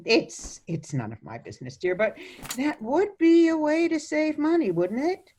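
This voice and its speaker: Fussy older mother-in-law, acted by a woman, lilting pitch. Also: very animated, unhurried, slight background noise. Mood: disapproving. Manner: genteel, gently disapproving. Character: sweetly meddling, fussy old mother-in-law. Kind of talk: radio play acting.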